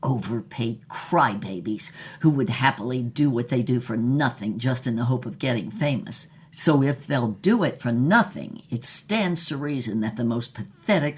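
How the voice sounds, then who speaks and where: A woman puts on a sleazy, scheming husband with a husky, lowered voice. He is a cynical, blustering hustler in an audio drama. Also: lively intonation, a little slow, exaggerated voice.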